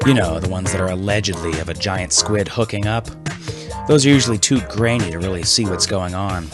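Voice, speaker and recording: A man's voice: sits low, a little fast, over music. A man hosting a scripted comedy podcast with a wry, mock-serious delivery. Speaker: podcast host.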